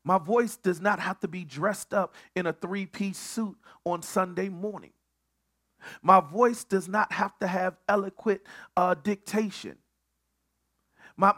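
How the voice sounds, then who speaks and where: Man, pastor, bible study sermon, slow pace, repeats and restarts. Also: high-pitched, a bit bright. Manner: preaching with repeated phrases and stammered restarts.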